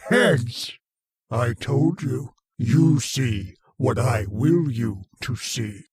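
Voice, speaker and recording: An actor playing an ancient, arrogant alien mind in an old-time radio horror play, rasping and declaiming with pompous contempt. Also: male voice, medium pitch, expressive, extremely slow, no room sound, studio quality, exaggerated voice. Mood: haughty scorn. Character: ancient arrogant alien mind, a menacing meteor-borne invader.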